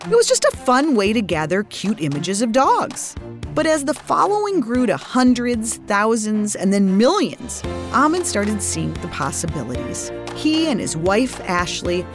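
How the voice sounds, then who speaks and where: A woman hosts a business podcast from a studio, and her voice swings up and down in pitch as she reads her intro and chats with guests. Her delivery is bright and she laughs easily. Clear music plays behind her. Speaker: podcast host and magazine editor. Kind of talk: scripted podcast intro and interview.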